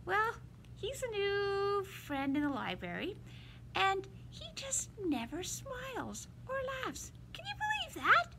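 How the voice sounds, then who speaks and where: A woman's voice: extremely high pitch, wildly swooping pitch, takes it slowly, background noise, voice pushed up, heavily acted. A woman voicing a friendly, chatty library mouse puppet in a children's story-time skit, with exaggerated, childlike delivery. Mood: cheerful introducing.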